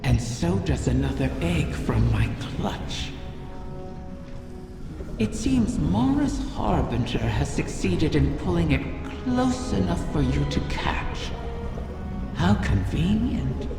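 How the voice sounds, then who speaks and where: A woman voicing an ancient, vengeful female dragon spirit in a video game, cunning and imperious, with a gravelly, menacing delivery. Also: deep voice, sing-song, full character voice. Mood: brooding, suspicious. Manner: slow, deliberate, menacing delivery. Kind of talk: dramatic video game voice acting. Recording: cavernous long reverb, music underneath. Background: clear music.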